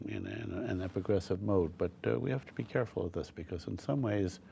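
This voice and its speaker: An older man talking as a guest in a casual interview, earnest and halting, often repeating his words. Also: on the low side, gravelly, dark tone, slight background noise. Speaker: guest on a talk show.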